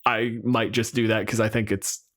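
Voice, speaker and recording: A man's voice: fairly low pitch, level tone, normal speed, fairly bright tone. A man co-hosting a podcast, chatting casually and unscripted about tabletop games, with wry asides and loose swearing. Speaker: podcast co-host.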